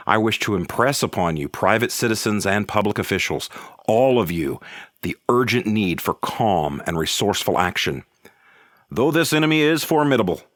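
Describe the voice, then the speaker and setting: A man playing a solemn statesman addressing the nation in a radio drama, with stately, measured oratory. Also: on the low side, fairly bright tone, no room sound, clean studio sound. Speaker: government official giving an address. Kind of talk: 1930s-style radio drama speech.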